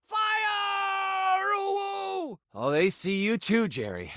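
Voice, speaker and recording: Game character: earnest, excitable tabletop game master, theatrical storyteller who slips into flustered asides, very lively intonation, dry close recording. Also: male voice, a little high, a little slow, dry recording. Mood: excited, dramatic. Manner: dramatic storytelling with hesitant, casual asides. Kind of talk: tabletop fantasy game narration.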